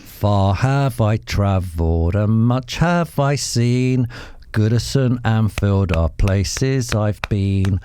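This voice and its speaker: Mellow-voiced male quiz show host. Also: on the low side, slow pace, smooth. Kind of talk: lighthearted football quiz hosting with banter.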